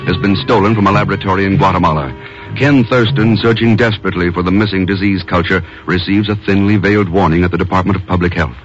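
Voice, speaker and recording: A man's voice: deep voice, quick pace. An American man announcing and reading ads on 1950s radio with polished, salesmanlike delivery. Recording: dull 1950s radio broadcast. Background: music.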